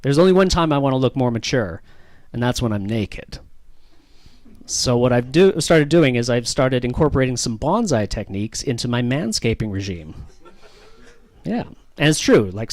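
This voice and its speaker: A man's voice: medium pitch, big pitch swings, moderate pace, darkish tone, no echo. A man with a Canadian accent doing self-deprecating, ironic stand-up comedy and bantering with the audience.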